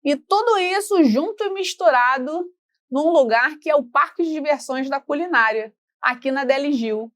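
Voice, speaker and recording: A woman's voice: high voice, normal speed. A young Brazilian woman hosting a casual videocast interview in a chatty, informal and teasing way. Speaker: videocast host.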